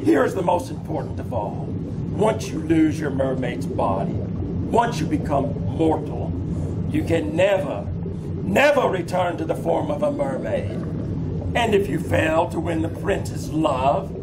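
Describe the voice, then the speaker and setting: Man acting a slow, cackling, cruel sea witch doctor. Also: a little high, lively intonation. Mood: gloating, menacing. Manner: cackling, taunting, drawn-out menacing delivery. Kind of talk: old-time radio drama acting. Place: live on a theater stage. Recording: very noisy live theater recording.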